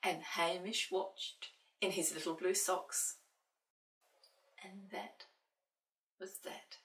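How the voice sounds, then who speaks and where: A woman reading a picture book aloud to children as an expressive storyteller. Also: on the low side, slow. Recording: roomy, distant microphone.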